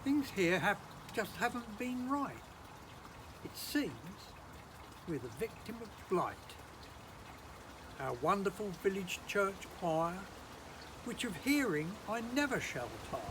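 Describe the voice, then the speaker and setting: A performer playing a playful village narrator, delivering an arch, deliberate rhyming prologue to an audience in a theatrical monologue. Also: medium pitch. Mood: arch, welcoming. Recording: noisy amateur online recording, rain-like hiss.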